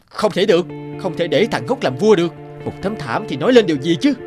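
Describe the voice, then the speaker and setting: A man's voice: sits high, big pitch swings, fast, over music. A man voicing an arrogant, jealous elder prince in a fairy-tale cartoon, indignant and scornful.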